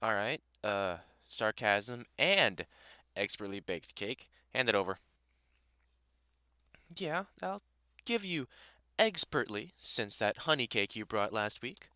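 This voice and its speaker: A man's voice: fairly low pitch, expressive, slow pace, long pauses, bright, forceful tone, no room sound, full character voice. A British man playing a dry, sarcastic vampire hunter in a conversational audio roleplay, chatting informally to the listener. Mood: teasing, amused. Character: gruff, sardonic but welcoming male vampire hunter.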